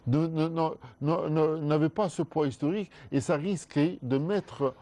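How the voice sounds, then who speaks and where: A man, a guest scholar in a studio discussion, explaining at length in a measured way with frequent restarts. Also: fairly high pitch, fairly even intonation, dark tone.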